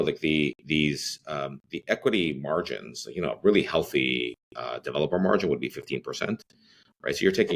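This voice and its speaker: Middle-aged man from Greece, very low voice, loose rambling podcast guest full of fillers. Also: a little hiss or hum. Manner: rambling, many fillers and restarts. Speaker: real estate CEO guest. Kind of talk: podcast interview.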